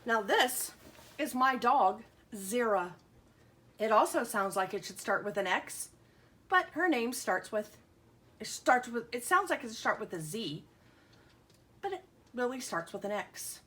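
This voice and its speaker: A woman's voice: fairly high pitch, expressive, unhurried, pauses often, fairly bright tone, breathy edge. A woman teaching young children, reading aloud and explaining simply, with questions and carefully sounded-out words. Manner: sounds out letters and words with emphasis. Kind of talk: children's lesson and picture-book read-aloud.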